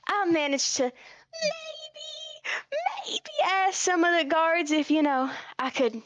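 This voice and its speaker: A woman voicing a chatty, sly clawed rogue in a tabletop game, halting and evasive as she talks. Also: very high voice, animated, fairly bright tone, no echo, heavily acted. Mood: coy, halting. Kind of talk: in-character tabletop roleplay.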